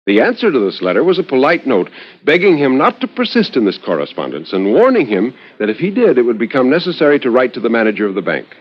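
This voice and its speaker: A man reading a literary story as a 1950s radio narrator, measured and expressive, lingering on phrases with dramatic pauses. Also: medium pitch. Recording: dull vintage 1950s radio recording.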